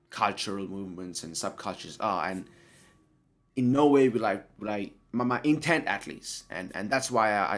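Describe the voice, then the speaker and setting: Sniffly man with a cold, low voice. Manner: earnest, hesitant, self-correcting. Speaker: podcast host. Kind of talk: solo podcast monologue.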